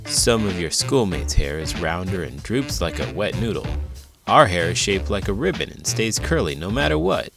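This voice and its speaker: Casting: male reader playing a patient uncle who is a scientist, explaining gently to a child, lively pitch movement, storybook style. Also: moderate pace, creaky, over music, full character voice. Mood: explanatory.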